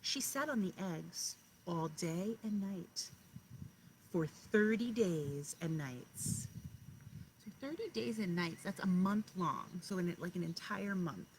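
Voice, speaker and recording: Low-pitched woman teaching young children, noisy recording. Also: expressive. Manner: addresses children directly, pausing to explain and ask questions. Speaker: kindergarten teacher reading aloud to young children. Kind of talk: read-aloud lesson with questions and explanations.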